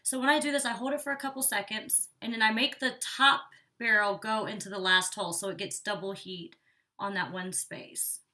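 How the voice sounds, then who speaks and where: A woman's voice: mid-range voice, fairly even intonation, moderate pace, pushed and bright. A young woman chatting casually through a hair tutorial, rambling with lots of "like", a bit congested and out of breath. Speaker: beauty vlogger. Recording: distant from the mic.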